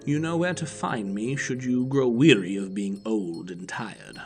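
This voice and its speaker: An actor playing an aging, cerebral detective, aloof and superior, with precise, clipped speech in an audio drama. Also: male voice, lowish voice, measured pace, a little mellow, faint music behind. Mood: aloof, musing.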